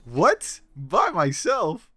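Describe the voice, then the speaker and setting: A man plays a hesitant junior policeman in a radio play. His husky voice is slow but swings up and down in pitch, with music behind it. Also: huge pitch swings, pushed and bright, heavily acted. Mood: put-upon. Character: hesitant, put-upon male junior policeman. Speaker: police subordinate. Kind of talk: audio drama acting.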